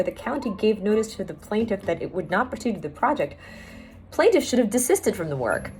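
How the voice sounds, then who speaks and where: A woman with an American accent, a law student giving a measured dramatic reading of a court opinion. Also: medium pitch, a little mellow.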